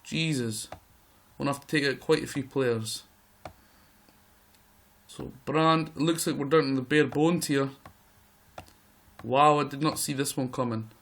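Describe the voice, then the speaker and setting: A man with a croaky voice giving excitable live play-by-play commentary over a football management game. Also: medium pitch, unhurried, long pauses. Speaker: gaming video host.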